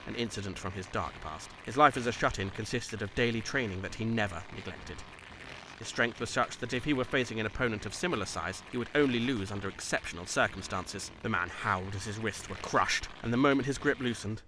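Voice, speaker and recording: A man's voice: on the low side, noisy background. A man reading scripted story narration in an audiobook style, as the narrator of a fan dub.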